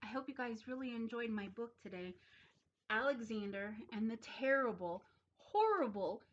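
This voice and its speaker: A woman reading a children's story aloud, expressively, with deliberate and emphatic phrasing. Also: on the high side, lively intonation, muffled recording. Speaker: storybook reader.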